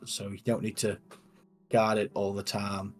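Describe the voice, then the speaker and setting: A man's voice: lowish voice, completely flat delivery, unhurried, faint music behind. A man playing a tabletop game, speaking in an earnest, reassuring way in character. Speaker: tabletop player. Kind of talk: in-character roleplay.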